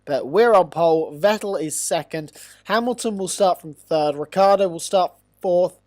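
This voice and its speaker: A man's voice: high-pitched, dry recording, clean studio sound. A young man with a blocked-up nose, hosting a gaming channel and giving eager racing game commentary. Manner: eager, rising to excitement on fast laps.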